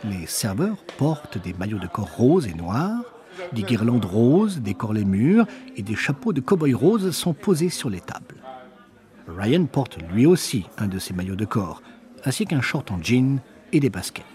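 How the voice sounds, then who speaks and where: Man narrating with lively intonation. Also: medium pitch, a little slow. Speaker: documentary narrator. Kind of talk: scripted reportage narration.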